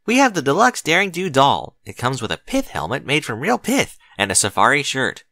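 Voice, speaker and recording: Man voicing a young pony salesgirl, bright and lively. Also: mid-range voice, brisk, dry recording, full character voice. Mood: salesy. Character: young female pony shop assistant, eager sales pitch. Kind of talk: storybook character dialogue.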